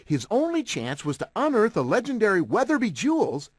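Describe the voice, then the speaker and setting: An actor playing a confident, upbeat young gang leader in a mystery cartoon, decisive and cheerful. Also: male voice, sits high, wildly swooping pitch, brisk, no room sound, full character voice.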